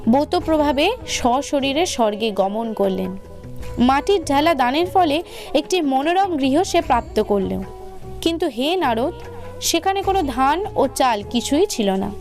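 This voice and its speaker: A woman reading a scripted religious tale as a storyteller, in a formal, measured way. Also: sits high, animated, a little mellow. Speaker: devotional storyteller. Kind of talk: scripted religious narration for cartoon video. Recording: music under the voice. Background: background music.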